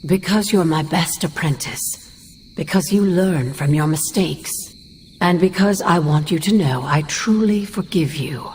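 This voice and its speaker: An actress playing a wise, caring sorceress spirit mentor with measured, solemn delivery in a video game cutscene. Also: female voice, on the low side, a little mellow, slight background noise, close to an everyday voice. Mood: warm, resolute. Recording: music behind the voice.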